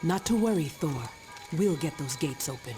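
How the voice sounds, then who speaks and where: A calm game superheroine. Her voice is notably low and assured. Music sits behind it, and a long reverb trails each phrase. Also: normal speed. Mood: reassuring. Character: calm, reassuring female superhero. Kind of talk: video game cutscene acting.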